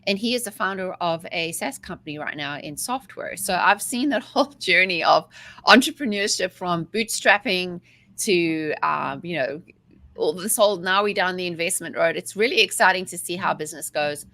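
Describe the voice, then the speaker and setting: A woman in her forties with a South African accent, a guest coach telling her story in a chatty, energetic way. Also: fairly low pitch, moderate pace. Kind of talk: casual interview talk.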